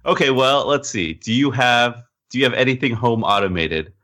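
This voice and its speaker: A man's voice: mid-range voice, expressive, normal speed, bright, forceful tone. A man co-hosting a podcast, explaining tech in a casual, conversational way, step by step. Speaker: podcast co-host.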